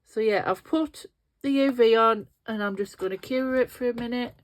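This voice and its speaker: A woman's voice: fairly high pitch, moderate pace. Sniffly, nasal woman crafting. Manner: chatty, thinking aloud while working with her hands. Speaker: resin craft video host. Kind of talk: casual craft tutorial talk.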